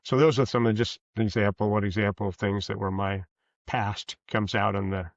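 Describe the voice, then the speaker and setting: Male interview guest, low and gravelly, halting and discursive, on a muffled lo-fi recording. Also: level tone, no echo. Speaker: guest author. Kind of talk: interview answers, conversational. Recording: dull, lo-fi sound.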